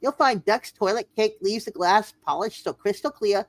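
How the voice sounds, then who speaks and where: A woman's voice: medium pitch, moderate pace, no echo. A woman announcer reading old-time radio sponsor spots in a playful, hammy style.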